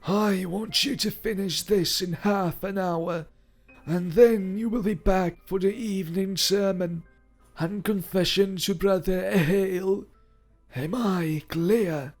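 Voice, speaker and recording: A man's voice: high voice, steady tone, takes it slowly, faint music behind, pitched above the performer's own voice, exaggerated voice. A man voicing a stern priest who gives commands and demands obedience. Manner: commanding, cold. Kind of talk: storybook reading.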